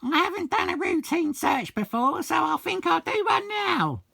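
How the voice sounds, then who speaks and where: A man's voice: very high-pitched, very animated, moderate pace, vocal fry, pushed and bright, dry recording, clean studio sound, voice pushed up, heavily acted. A man voicing an officious female assistant shop manager in a comic verse reading, prim and accusing.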